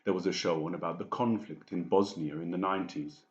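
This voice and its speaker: A man's voice: low-pitched, steady tone, brisk. A man reading scripted fiction as an audiobook narrator. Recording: dull, echoey room recording.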